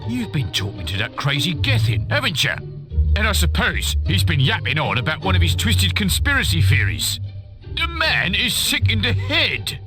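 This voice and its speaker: A man's voice: high-pitched, huge pitch swings, normal speed. Wildly swooping, scornful townsman. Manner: scornful, emphatic, threatening. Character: scornful, menacing male town loyalist. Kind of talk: theatrical fantasy game acting. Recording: loud music behind the voice. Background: music.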